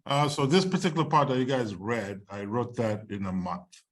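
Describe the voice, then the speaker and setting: A man's voice: mid-range voice, normal speed. A man speaking as a screenwriter and filmmaker, answering questions informally on an online video call.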